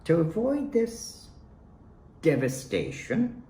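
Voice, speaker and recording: A man reading his own poetry in a dramatic, deliberate way, pausing between phrases. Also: on the high side, huge pitch swings, mellow. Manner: theatrical, deliberate phrasing with pauses. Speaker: poet reading own work. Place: at home, roomy indoor space. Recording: roomy echo, faint background noise.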